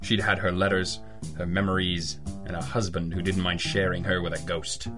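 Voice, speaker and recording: Man playing a low-voiced hard-boiled private eye. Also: flat delivery, normal speed, over music, close to an everyday voice. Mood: rueful narration. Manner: clipped, wry, accusing film-noir delivery. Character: hard-boiled, cynical adult male private detective, stern and relentless. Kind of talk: old-time radio detective drama acting.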